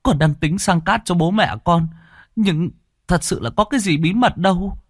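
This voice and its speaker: A man voicing a beaten young peasant who begs for mercy in a high, whimpering voice. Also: moderate pace, no room sound, clean studio sound. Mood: pleading.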